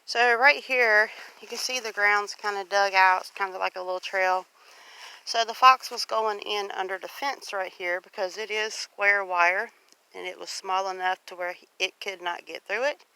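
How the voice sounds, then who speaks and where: A woman's voice: mid-range voice, steady tone, moderate pace, pushed and bright, smooth, no room sound, poor audio. A woman with a Southern US accent chats in a folksy way, explaining things as she walks and films a casual vlog. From Louisiana, US. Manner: chatty, folksy, explaining while walking. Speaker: homestead vlogger. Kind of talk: casual walk-and-talk vlog. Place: outdoors on a rural homestead. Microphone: handheld phone. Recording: faint outdoor noise, handheld phone.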